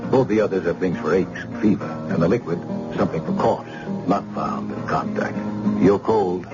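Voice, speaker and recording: A man reads an old radio commercial in a brisk, matter-of-fact way. His voice sits on the low side. Music plays behind the dull, lo-fi recording. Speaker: ad announcer.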